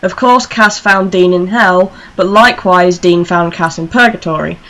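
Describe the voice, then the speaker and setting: A woman's voice: on the low side, moderate pace, a bit bright. A woman hosting a fan radio show, talking casually in a panel discussion.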